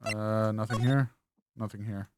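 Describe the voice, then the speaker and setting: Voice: medium pitch, fairly even intonation, a little slow, over loud music. A gamer doing a let's play, reading game text aloud and commenting casually. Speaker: video game streamer.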